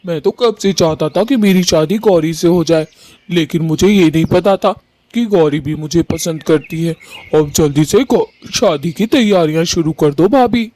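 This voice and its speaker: Woman as a mellow, earnest young groom bird. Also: lowish voice, normal speed, voice pushed down, close to an everyday voice. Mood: eager. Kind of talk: cartoon story voice acting.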